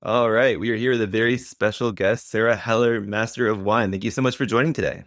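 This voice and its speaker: A man's voice: lowish voice, fairly even intonation, a little fast, a bit bright, dry recording, clean studio sound, faint music behind. A man hosting a podcast in a casual, conversational style. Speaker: podcast host.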